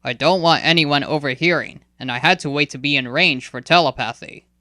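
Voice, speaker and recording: A woman playing a serious robed man who speaks with earnest urgency. Bright and a touch higher than her narration, recorded close and dry. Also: brisk, dry recording. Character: calm, watchful man in robes, serious.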